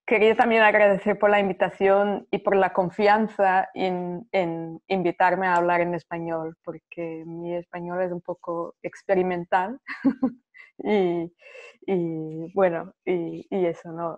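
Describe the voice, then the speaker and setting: A woman's voice: lowish voice, a little mellow. A woman on a video call from home, speaking hesitantly and searching for words in a language that is not her first. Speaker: writer and researcher, panel guest. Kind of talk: online panel conversation. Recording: dull lo-fi video call audio.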